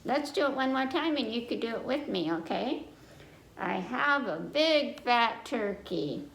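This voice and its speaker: An American woman reading a picture book aloud to children, speaking simply and pausing to check in with her young listeners. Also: a little high, lively intonation, creaky, fairly bright tone. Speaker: children's librarian, story time host. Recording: echoey room with faint noise.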